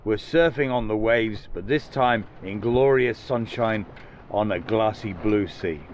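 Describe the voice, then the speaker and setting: A man's voice: fairly low pitch, measured pace. An older man sailing alone, talking casually to camera from a boat's cockpit at sea, rambling plainly with many restarts. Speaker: solo sailor vlogger. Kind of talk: casual vlog commentary. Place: outdoors in the cockpit of a sailboat at sea. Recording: muffled camera audio with loud wind and sea noise.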